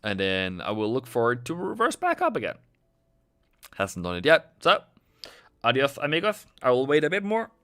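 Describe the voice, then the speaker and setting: A man's voice: medium pitch, wildly swooping pitch, normal speed, pushed and bright. A man hosting a casual trading livestream, chatting and joking sarcastically with viewers.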